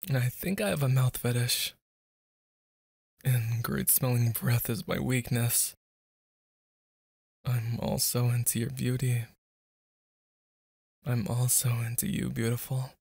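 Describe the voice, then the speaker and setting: A man's voice: mid-range voice, gravelly, darkish tone, breathy edge, no room sound, studio quality. A man performing a boyfriend ASMR roleplay, speaking to an unseen listener in a moody, dramatic way.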